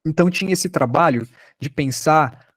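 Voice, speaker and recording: A man's voice: a little high, moderate pace, fairly bright tone, husky, no room sound, clean studio sound. A man with a Brazilian accent giving an informal online podcast workshop lecture in an explanatory, conversational way. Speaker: teacher, podcast maker.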